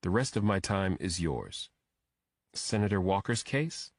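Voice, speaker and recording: A man's voice: sits low, sing-song, a little slow, no echo, clean studio sound, exaggerated voice. A man voicing a direct, probing detective. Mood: alert, questioning. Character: probing male detective, contract consultant to the FBI. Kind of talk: audiobook character dialogue.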